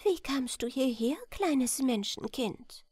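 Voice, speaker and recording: A woman voicing a gentle, gracious young elf queen in a storybook. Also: high-pitched, expressive, takes it slowly, slightly rough, no room sound, clean studio sound, soft background music, heavily acted. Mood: kind, inviting. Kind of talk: storybook character voice.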